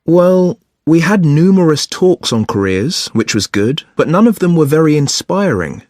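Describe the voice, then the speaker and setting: A man's voice: on the high side, very animated, normal speed, darkish tone, dry recording, studio quality. An actor playing an agreeable, realistic young male student in a scripted, conversational listening-test dialogue. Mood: chatty, agreeable. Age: young adult. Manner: conversational, questioning.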